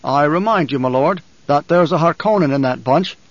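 A man's voice: fairly high pitch, moderate pace, no echo, muffled recording, lightly acted. A man voicing a loyal, vengeful warrior retainer in an audiobook. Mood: grim.

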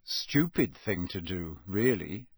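A man voicing a boy's sceptical inner voice in an audiobook, calm and stubborn, refusing in an understated, dry way. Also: deep voice, slow pace, slightly rough, no echo, voice pushed down, exaggerated voice. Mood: calmly doubtful.

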